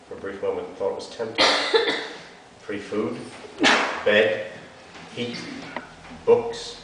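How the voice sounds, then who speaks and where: Guy with a lowish voice doing a theatrical story reading, big echoey room, faint hiss. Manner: theatrical, intense. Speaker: actor reading an essay aloud. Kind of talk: dramatic literary reading. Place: arts centre hall before an audience. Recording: echoey hall from far off, hiss.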